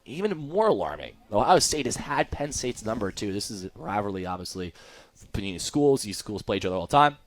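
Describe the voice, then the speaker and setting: A man's voice: lowish voice, sing-song, brisk. A young American man giving live color commentary on a baseball radio broadcast.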